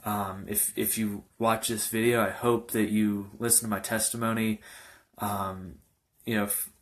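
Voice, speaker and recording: A young man in his early twenties speaks as a pastor sharing his testimony. His voice sits slightly low. He talks informally and haltingly, wandering loosely from phrase to phrase. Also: little pitch movement. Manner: halting, loosely rambling delivery. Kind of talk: informal testimony talk to camera.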